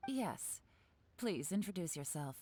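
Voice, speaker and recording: A woman's voice: on the low side, big pitch swings, measured pace, dark tone, slight background noise. A woman playing a shrewd, composed, authoritative female officer in an anime game. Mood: businesslike. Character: cool, shrewd, commanding woman assessment officer.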